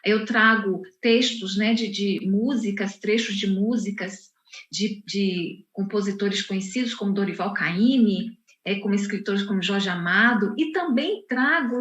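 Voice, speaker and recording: A woman's voice: mid-range voice, a bit bright. A woman from Salvador, a poet and professor, speaking unhurriedly at an online book launch and often restarting her sentences. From Salvador, Bahia, Brazil. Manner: unhurried, with frequent restarts and fillers. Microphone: video call. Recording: muffled, roomy video call audio.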